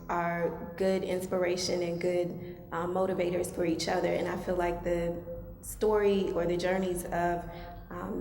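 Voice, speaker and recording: A woman from Maryland telling her personal story as a guest speaker, soft-spoken and hesitant, with many pauses. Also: on the low side, vocal fry. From Maryland, USA. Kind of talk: personal testimony talk. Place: mosque hall. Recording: echoey hall, faint hum.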